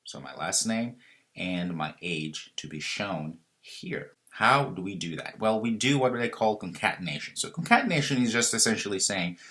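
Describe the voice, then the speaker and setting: A man in his thirties with a very low, deep voice that still has a bright, forceful edge, walking through code in a screen-recorded tutorial. He explains in a relaxed, thinking-aloud way, with fillers and quick self-corrections, and a keyboard clacks now and then behind him. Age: 30s. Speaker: programming instructor.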